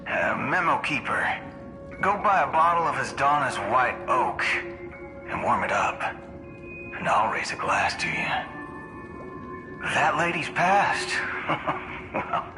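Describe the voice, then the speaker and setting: Cocky gunslinger in cavernous reverb. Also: male voice, mid-range voice. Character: cocky, menacing gunslinger ranger man, wry and taunting. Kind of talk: dramatic video game voice acting. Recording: long reverb, loud music behind.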